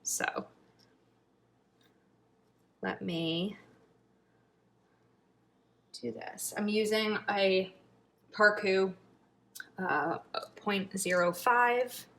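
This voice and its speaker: Bright-voiced woman vlogging, slightly raspy. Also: medium pitch, extremely slow, pauses often. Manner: chatty, thinking aloud while crafting, drops to murmurs. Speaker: planner vlogger. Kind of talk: casual planning video chat.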